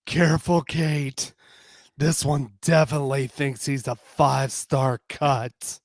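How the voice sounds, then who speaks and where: A woman voicing a sly, teasing female instigator in a romance audio drama, with a dark, husky, challenging delivery. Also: very deep voice, steady tone, takes it slowly, no echo, clean studio sound, heavily acted.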